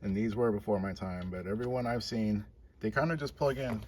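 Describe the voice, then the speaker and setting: Low-voiced man in casual garage vlog. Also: brisk, a little hiss or hum. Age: late 30s. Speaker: car restoration vlogger.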